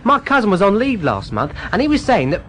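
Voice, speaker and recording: A blunt, down-to-earth soldier in a radio play. His high voice is very animated, against busy, noisy camp sound effects. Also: fairly quick, full character voice. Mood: weary, wary. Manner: blunt, colloquial. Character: weary, sensible army camp soldier. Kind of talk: radio drama acting.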